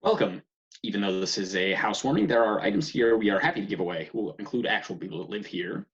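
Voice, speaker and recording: Male actor as worn-out sarcastic housemate, low register, complaining, comedy read, dull lo-fi sound. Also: fast talker, husky. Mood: wry. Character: sleep-deprived, exasperated young man with housemate troubles. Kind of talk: informal comedy script table read. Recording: muffled video call audio.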